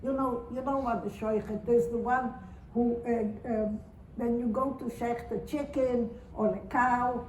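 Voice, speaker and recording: High-voiced woman in her nineties, unhurried. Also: fairly even intonation, a little mellow, a little hiss or hum. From Romania. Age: 90s. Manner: earnest, slow and repetitive, with halting restarts. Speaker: Holocaust survivor giving testimony. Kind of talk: spoken testimony to an audience. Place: synagogue or community hall. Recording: roomy hall recording from far off.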